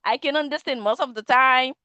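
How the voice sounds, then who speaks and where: A woman's voice: high-pitched, brisk, bright, forceful tone, smooth, dry recording, lo-fi sound. Laughing Haitian woman on a video call. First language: Haitian Creole. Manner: rambling, laughs often, many fillers. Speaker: student participant. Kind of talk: casual conversation club answer.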